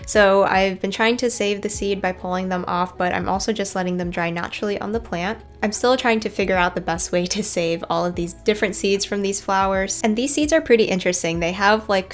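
A woman narrating a casual garden tour in a chatty, informal way. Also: mid-range voice. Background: background music.

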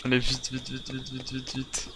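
A man's voice: mid-range voice, completely flat delivery, moderate pace. A man improvising first-person roleplay commentary on a game, muttering to himself in short, repeated phrases. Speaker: gaming video creator playing a survivor. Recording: very noisy home recording, game sounds behind.

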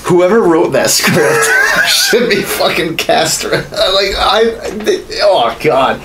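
A man's voice: sits high, very animated, slow pace, very noisy. A man in his thirties chatting casually, profane and ranting as a podcast co-host in a small room. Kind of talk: casual opinionated chat about films. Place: small home studio room, indoors.

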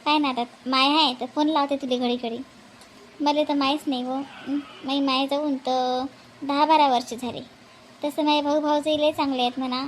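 बाई साकारत असलेली उंच आवाजाची गप्पिष्ट गृहिणी. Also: female voice, high voice, little pitch movement, moderate pace, a little hiss or hum, close to an everyday voice, poor audio. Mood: gossipy. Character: chatty, homely Marathi village women of a family cartoon. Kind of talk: animated family comedy dialogue.

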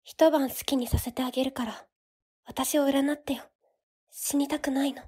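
Woman acting a very high, soft girlish pleader. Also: fairly even intonation, moderate pace, lightly acted. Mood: pleading. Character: timid-sounding, coaxing young woman, secretly manipulative. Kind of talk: Japanese voice drama acting.

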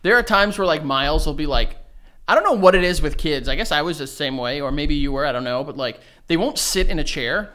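A man's voice: a little high, lively intonation, fairly quick, pushed and bright. A man in his thirties co-hosting a casual podcast chat from a small home studio, rambling and swearing as he acts out scenes with his kids. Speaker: podcast co-host. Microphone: studio mic on a desk stand, headphones.

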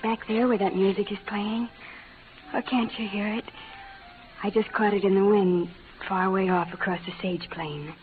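An actress playing a spirited, romantic young frontier bride in an old radio western, speaking with earnest melodrama. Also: female voice, medium pitch, fairly even intonation, moderate pace, music in the background, close to an everyday voice. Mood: yearning. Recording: tinny, noisy old radio recording.

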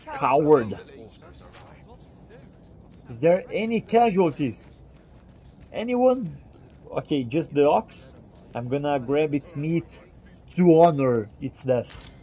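Mellow-voiced man roleplaying in a fantasy game. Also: fairly high pitch, animated, slow pace, pauses often, a little hiss or hum, poor audio. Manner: theatrical archaic phrasing mixed with casual talk. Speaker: gamer roleplaying as the player character. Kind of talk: in-character roleplay commentary over fantasy game.

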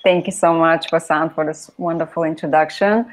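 A woman in her thirties from Bulgaria, reading her own poems in a soft, measured, lyrical way, on a video call from a room at home. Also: low voice, fairly even intonation. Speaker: poet reading her work. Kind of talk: poetry reading. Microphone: video call, laptop camera.

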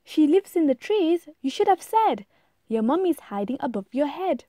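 Lady doing a helpful butterfly in a kids storybook, eager and encouraging, lively high voice. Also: normal speed, no echo, studio quality. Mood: confident. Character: helpful, eager, confident butterfly guiding a monkey. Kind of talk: rhyming storybook read-aloud.

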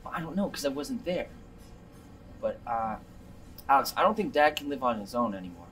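An actor with an American accent playing a defensive, swaggering brother with worry underneath, in a naturalistic drama. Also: male voice, mid-range voice, animated, fairly quick, fairly bright tone, slight background noise, lightly acted. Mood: serious, worried. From Virginia, United States. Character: defensive adult brother putting on swaggering Italian airs, worried underneath. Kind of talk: naturalistic film dialogue.

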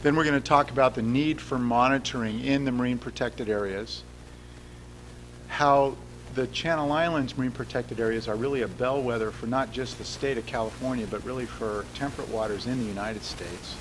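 A man giving a public science lecture, laying things out clearly and asking the audience questions. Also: medium pitch, a little fast, a little hiss or hum, rough recording. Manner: clear, explanatory, addresses the audience directly. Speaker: guest lecturer. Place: lecture hall.

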